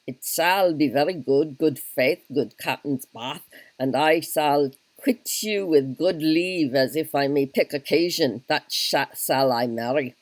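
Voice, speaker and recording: A man's voice: a little high, unhurried, no echo, natural voice. A man voicing a good-natured Scottish army captain who speaks in old-fashioned oaths, in a solo reading of a stage play. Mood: hearty.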